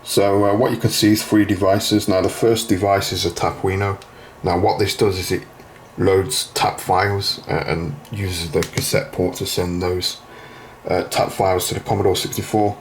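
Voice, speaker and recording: Male tutorial host, low register, plain informal step-by-step delivery, some background noise. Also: little pitch movement, moderate pace. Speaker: video host demonstrating a retro computer repair. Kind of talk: informal hands-on tutorial narration.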